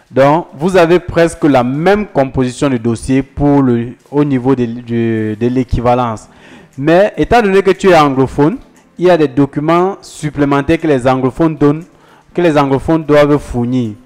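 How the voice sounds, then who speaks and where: A man of middle pitch explains study procedures and talks straight to his viewers. He repeats his key points with insistence, and soft music plays behind him. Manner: repetitive, emphatic, addresses viewers directly. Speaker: study-abroad advisor. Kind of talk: explanatory talk to camera.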